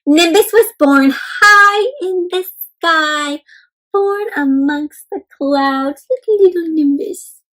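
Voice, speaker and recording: A woman doing an interactive children's read-aloud, expressive and full of exclamations, often putting questions to young listeners. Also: high-pitched, takes it slowly, poor audio. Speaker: host reading a picture book aloud to children.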